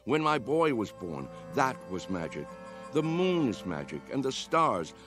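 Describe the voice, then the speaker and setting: A man's voice: medium pitch, very animated, unhurried. An actor playing an earnest, persuasive old man with a dreamer's young heart in a radio drama. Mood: pleading, wistful. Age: older. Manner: earnest, persuasive, challenging with questions. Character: old man, impassioned youthful-hearted dreamer coaxing others to play. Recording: radio drama with music underneath.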